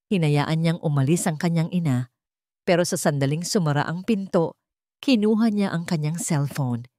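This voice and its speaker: A woman narrates a romance drama as a storyteller, reading from a script in a dramatic style. Her voice sits a little low, and the recording is close and dry, with very little room sound. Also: normal speed, warm tone, no room sound.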